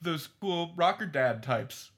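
Bright-voiced, articulate man on a panel. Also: on the high side, expressive, takes it slowly. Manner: articulate, academic vocabulary. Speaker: roundtable panelist. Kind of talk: discussion of audio fiction criticism.

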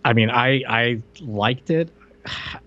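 A man's voice: mid-range voice, slow, fairly bright tone. Slightly dull, lo-fi audio carries a man with a mid-range voice. He co-hosts a podcast and chats casually, reviewing films in a conversational style. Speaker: podcast co-host. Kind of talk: casual film review chat.

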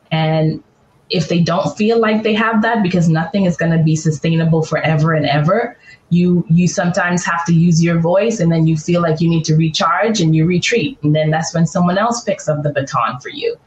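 A woman's voice: low voice, slight background noise. Earnest woman guest on roomy, dull audio. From Bahamas. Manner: earnest, repetitive, builds points in lists. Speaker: podcast guest. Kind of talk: reflective interview answers.

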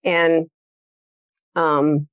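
A woman hosting a podcast, telling a story in a casual, animated way and acting out the quoted lines. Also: deep voice, extremely slow, smooth, no room sound. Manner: animated storytelling, acts out quoted dialogue, laughs often. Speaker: podcast host. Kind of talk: casual conversational interview.

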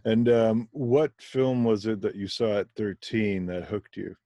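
Low-voiced host, dry dull sound. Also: male voice, unhurried, warm tone, no echo. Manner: encouraging, frequent brief affirmations. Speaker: interview host. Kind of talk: casual interview conversation.

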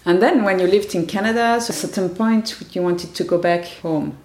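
A woman interviewer gently prompting an older man with questions about his life. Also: fairly low pitch, a little fast, echoey room. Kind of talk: oral history interview.

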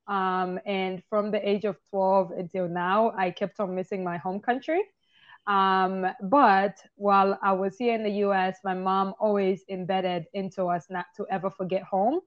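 A lively woman from Tanzania tells her story in long, run-on stretches, and she laughs easily between them. Her voice sits at an ordinary middle height. It comes through a slightly muffled, lo-fi video call from her apartment. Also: medium pitch, moderate pace. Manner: animated, laughs often, talks in long run-on stories. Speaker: guest, tour business owner. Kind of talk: casual interview chat. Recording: slightly dull, lo-fi call audio.

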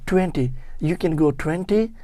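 A man in his fifties from Turkey, speaking English with a Turkish accent, talking casually in an interview in halting, broken phrases. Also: on the high side, expressive, warm tone, no echo. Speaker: guest, security guard.

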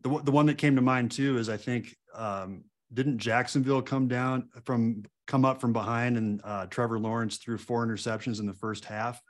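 A man's voice: mid-range voice, steady tone, moderate pace, hardly any pauses. A man hosting a casual interview, friendly, giving short prompts and agreeing replies. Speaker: podcast host.

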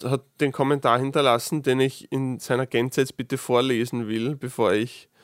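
A man with an Austrian dialect chats through his solo podcast at an ordinary middle pitch, often restarting his sentences as he thinks aloud. His asides come out dry and sarcastic. The recording is close and dead, with no room sound around him. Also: little pitch movement, moderate pace, dry recording. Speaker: podcast host. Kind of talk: casual solo monologue.

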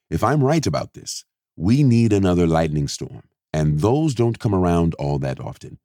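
A man doing a calm, deep-voiced scientist. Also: dry recording, studio quality. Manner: measured, steady, reassuring.

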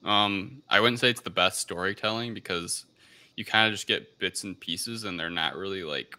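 A man co-hosting a casual film review podcast, speaking in a hesitant, halting way as he searches for words. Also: sits low, pushed and bright. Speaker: podcast co-host.

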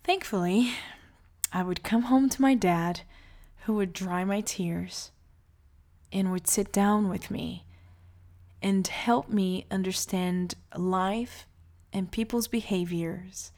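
A woman in her late thirties from Brazil, calmly telling her life story in a reflective monologue. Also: medium pitch, takes it slowly, long pauses. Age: 30s.

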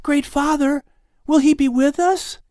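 Man doing little boy, high voice, hushed, earnest, imploring, innocent, audiobook character, dry recording. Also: fairly even intonation, measured pace, no echo. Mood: pleading. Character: innocent, pleading young boy, curious and earnest.

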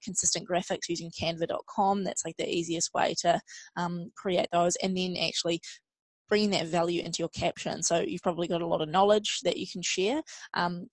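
A woman's voice: fairly low pitch, no echo. A woman giving casual, explanatory answers as a social media expert guest in an interview. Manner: conversational, explanatory.

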